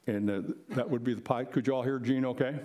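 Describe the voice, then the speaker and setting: A man's voice: medium pitch, steady tone, fast, mellow, a little hiss or hum. An older man speaking plainly and explaining things in an informal presentation to a live audience. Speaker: presenter, retired air traffic controller.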